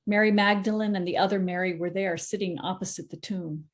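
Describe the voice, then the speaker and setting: Woman narrating scripture, steady and measured. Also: mid-range voice. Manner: steady, measured narration with small self-corrections. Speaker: scripture reader. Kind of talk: dramatic Bible reading in church.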